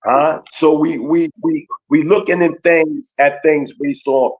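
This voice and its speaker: A man giving a slow, emphatic lecture in a preaching cadence, with speech, repeating key phrases for weight. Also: on the high side, steady tone, muffled recording. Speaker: guest teacher. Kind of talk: preaching-style lecture on a livestream.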